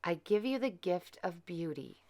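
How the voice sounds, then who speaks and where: A woman voicing a kindly good fairy from a children's storybook, gentle and solemn as she pronounces. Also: fairly low pitch, lively intonation, measured pace. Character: kindly, gift-giving good female fairy.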